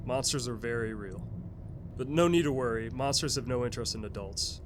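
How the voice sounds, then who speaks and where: An actor playing a folksy, earnest retired uncle in an audio sketch comedy. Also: male voice, mid-range voice, brisk, noisy background, lightly acted. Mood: earnest explaining. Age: older. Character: retired fatherly uncle, earnest and matter-of-fact about monsters.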